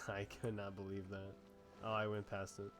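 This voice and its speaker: A man casually reacting while playing a video game, talking haltingly and repeating himself. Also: lowish voice, monotone, over music. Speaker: game streamer.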